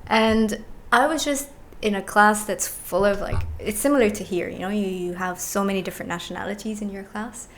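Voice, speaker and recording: This is a woman in her thirties chatting as a podcast guest. Her pitch sits in an ordinary middle range. She tells her stories at a relaxed pace and laughs easily. A faint noise runs under her voice. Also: fairly quick, hardly any pauses. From born in Egypt, raised in the UAE. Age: 30s. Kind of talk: casual chat.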